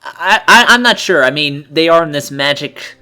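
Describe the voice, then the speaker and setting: A man's voice: fairly high pitch, expressive, moderate pace, sharp tone, clean tone, soft background music. A man doing casual video game co-commentary, joking, blunt and sardonic. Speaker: let's play commentator.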